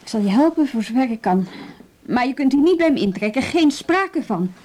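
A woman's voice: high voice, expressive, a little fast, dark tone, roomy sound. An actress playing a weary, guarded but kindly adult woman in a radio drama, speaking curtly and firmly. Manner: curt, firm, matter-of-fact. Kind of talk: radio drama acting, naturalistic dialogue.

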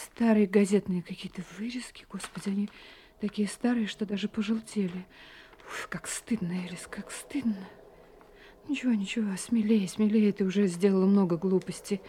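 An actress voicing an anxious whispering young wife. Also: female voice, medium pitch, fairly even intonation, moderate pace, mellow, slight background noise, natural voice. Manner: agitated, often whispering to herself. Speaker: heroine and narrator of a radio play. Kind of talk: radio play acting.

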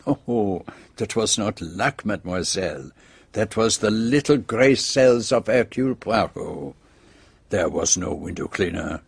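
A shrewd foreign sleuth in a radio mystery, speaking with a French-speaking accent in a close, dry sound. His voice is slightly husky, and he sets out each point precisely, with theatrical self-satisfaction. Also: fairly low pitch, animated, unhurried, dry recording, exaggerated voice. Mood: self-satisfied. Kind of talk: radio drama acting.

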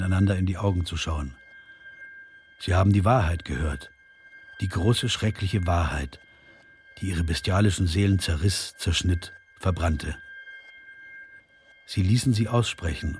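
A man's voice: low voice, a little mellow, a little hiss or hum. An actor in a radio play voicing a grieving male prisoner who bears witness in solemn, grave narration. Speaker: narrator, eyewitness.

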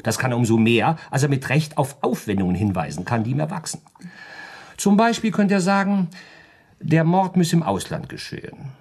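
Husky, animated, pedantic lawyer. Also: male voice, a little high, moderate pace. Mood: calculating. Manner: precise, lecturing, polite, theatrically varied. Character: urbane pedantic male lawyer, coolly reasoning through crimes. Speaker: lawyer giving legal advice. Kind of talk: German radio play dialogue.

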